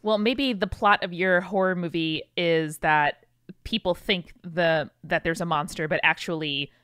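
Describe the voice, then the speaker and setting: A woman's voice: lowish voice, unhurried, fairly bright tone, clear, resonant tone. A woman co-hosting a podcast in casual banter, with dry, playful remarks. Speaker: podcast co-host.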